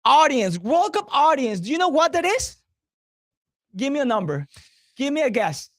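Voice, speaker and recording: A man's voice: very high-pitched, sing-song, normal speed, fairly bright tone, dry recording. A man talking up sports as a radio guest, emphatic and driving points home with repetition. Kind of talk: promotional sports talk.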